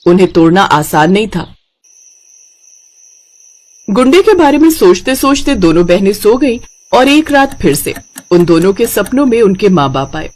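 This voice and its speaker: Female narrator, scripted storytelling for kids, medium pitch. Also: big pitch swings, moderate pace, long pauses, darkish tone.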